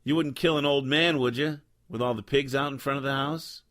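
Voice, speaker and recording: An actor playing a sardonic, deadpan noir detective who both narrates and talks in a radio drama. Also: male voice, medium pitch, brisk, lightly acted. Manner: sardonic, deadpan, world-weary. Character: cynical wisecracking hardboiled male noir detective, Joe Smoke.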